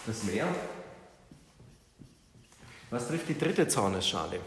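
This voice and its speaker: A man's voice: on the low side, sing-song, takes it slowly. A man leading a Bible study, teaching a group in an informal, interactive way. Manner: informal, questions the group and repeats their answers. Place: reverberant room with a group present. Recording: echoey hall with background noise.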